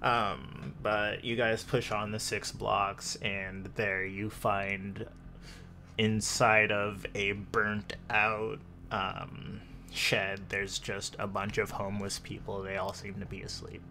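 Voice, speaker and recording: A man improvising descriptive narration as game master of a tabletop roleplaying game, pausing often as he goes. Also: on the low side, little pitch movement, pushed and bright. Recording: faint background noise.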